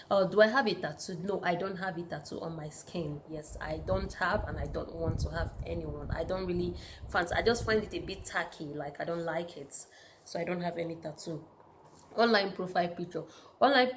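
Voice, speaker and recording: A woman's voice: lowish voice, a little hiss or hum. A Nigerian woman in her thirties telling personal stories in a casual sit-down vlog, chatty and full of fillers. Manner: chatty, storytelling with frequent fillers. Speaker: vlogger.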